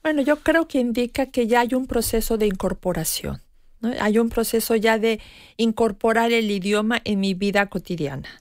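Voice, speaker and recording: A woman in her fifties, a guest on a radio talk show, speaking in a thoughtful, measured way with frequent pauses and hesitations. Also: a little high, no room sound, clean studio sound. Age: 50s. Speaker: guest expert on language learning. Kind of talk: radio conversation.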